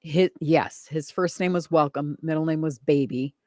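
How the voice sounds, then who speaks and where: A radio co-host with a mid-range voice, trading quick lines and interjections in easy on-air banter. The sound is close and dry, from a studio microphone. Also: medium pitch, a little mellow, no echo. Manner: chatty, quick back-and-forth with many interjections. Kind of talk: casual on-air radio chat.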